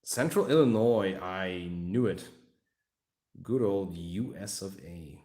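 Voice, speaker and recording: Deep-voiced Hungarian man chatting. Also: lively intonation, slow pace, a little mellow, roomy sound. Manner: rambling, joking, talking to chat. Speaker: livestream hobby host. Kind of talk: casual livestream chat while building a model.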